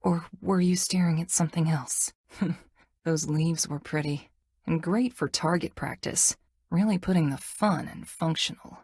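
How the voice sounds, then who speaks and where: Low, husky, brooding tough game heroine. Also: female voice, no room sound, heavily acted. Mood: awkwardly fond. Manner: dry, deadpan, halting when flirting. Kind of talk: video game character dialogue.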